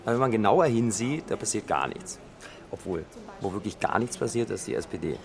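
A man's voice: fairly low pitch, expressive, fairly quick, crowd noise. An actor playing an outspoken, sardonic male politician in a radio drama, speaking bluntly and casually. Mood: blunt, sociable. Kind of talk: naturalistic radio drama dialogue.

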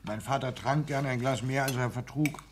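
An actor playing a meek, earnest, sickly young barber, speaking formally and plaintively in a 1960s German radio play. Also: male voice, medium pitch, steady tone, normal speed, lightly acted. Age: young adult. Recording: old radio recording with faint noise.

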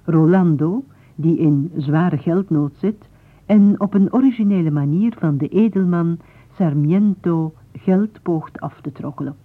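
A woman's voice: on the low side, a little slow. A woman giving a formal, literary scripted introduction to a radio play. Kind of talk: scripted narration. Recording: muffled narrow-band 1980s radio recording.

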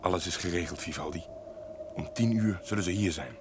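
An actor playing an honest, brave castle servant in a radio drama, earnest and conspiratorial, with a husky voice. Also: male voice, deep voice, brisk, noisy background, close to an everyday voice. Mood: hushed, businesslike. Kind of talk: theatrical Dutch radio drama acting.

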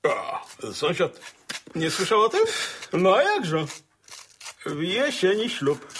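An actor playing a folksy, rambling older country squire in a radio drama, stammering through verbal tics with a gravelly, husky voice. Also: male voice, high-pitched, very animated, slow, fairly bright tone, a little hiss or hum, full character voice. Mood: chatty, proud. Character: chatty, folksy older country squire, proud father and husband.

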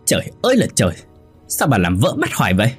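A man's voice: fairly low pitch, expressive, moderate pace, warm tone, slightly rough, faint music behind. A man voicing an irritable, henpecked grown son in a narrated story, snapping and growling. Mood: angry.

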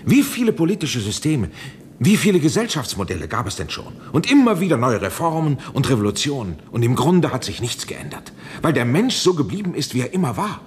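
Man acting an agonized, animated professor. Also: medium pitch, a little fast, a little hiss or hum, natural voice. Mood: urgent, impassioned. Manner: urgent, emphatic, pleading. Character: agonized older male scientist, professor, driven and self-justifying. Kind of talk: 1970s German radio play acting.

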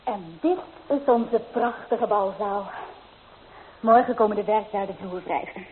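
An actress playing an eager, dreamy young provincial bride-to-be in a Dutch radio drama. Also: female voice, mid-range voice, measured pace, lightly acted. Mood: coaxing. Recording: tinny, hissy old radio play recording.

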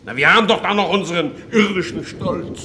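Actor as blustering war minister, faint noise. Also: male voice, sits high, a little fast, natural voice. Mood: indignant, scornful. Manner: scornful, exclamatory. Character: blustering, indignant, baffled male war minister. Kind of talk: 1950s German radio play acting. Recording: slightly noisy vintage radio recording.

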